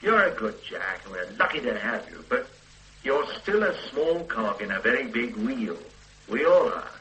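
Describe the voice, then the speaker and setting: An actor playing a stern middle-aged police boss who lectures and mocks a junior officer, in radio drama style. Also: male voice, medium pitch, sing-song, moderate pace, a bit bright, a little hiss or hum, rough recording. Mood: firm. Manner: lecturing, brusque, mocking. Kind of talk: 1980s radio drama acting.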